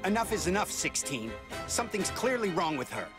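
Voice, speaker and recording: A suspicious young android from an anime game. His voice is fairly high and lively, with curt, impatient phrasing over clear music. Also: brisk. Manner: curt, pressing delivery. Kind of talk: anime game dub acting.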